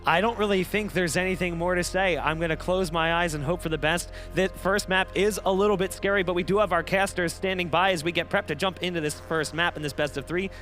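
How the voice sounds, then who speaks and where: Man, esports desk host, high pitch, light music behind. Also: fairly even intonation, a little fast, few pauses, a bit bright. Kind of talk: broadcast desk talk.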